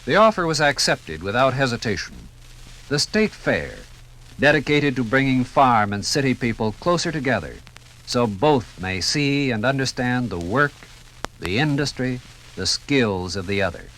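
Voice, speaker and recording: A man's voice: mid-range voice, very animated, normal speed, fairly bright tone, rough recording. A man giving theatrical, rhetorical scripted narration as the narrator of a 1950s radio documentary.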